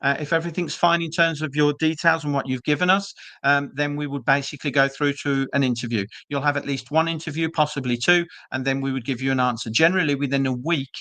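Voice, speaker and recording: A man's voice: medium pitch, steady tone, brisk, pushed and bright, no echo. A British man presenting an online webinar for a business school, chatty and reassuring with frequent ums and uhs, speaking over a video call. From Britain.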